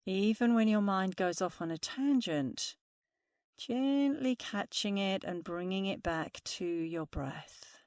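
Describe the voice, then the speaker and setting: A woman meditation instructor speaking softly and soothingly through a guided meditation. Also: medium pitch, animated, dry recording, clean studio sound. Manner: slow, soothing, soft-spoken guidance.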